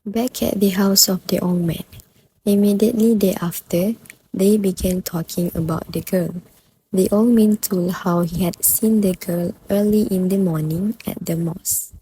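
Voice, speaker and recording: A female narrator delivers scripted lines for a play. Her voice is dark-toned and of medium pitch, over a faint background noise. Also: fairly even intonation, measured pace, rough recording.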